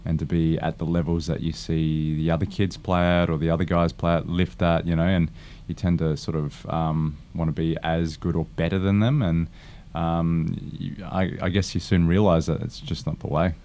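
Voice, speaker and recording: A man with a very deep, dark voice co-hosts a casual fitness podcast. He speaks hesitantly, pausing often on ums between thoughts. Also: little pitch movement. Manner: hesitant, halting with many ums. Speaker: gym co-founder and co-host.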